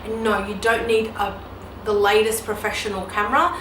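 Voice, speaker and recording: A woman's voice: mid-range voice, normal speed. A woman in her mid-thirties, a photographer answering viewer questions in a casual, chatty way with many ums and you-knows. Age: 30s. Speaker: newborn photographer and educator. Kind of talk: casual Q&A vlog. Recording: echoey room with steady background noise.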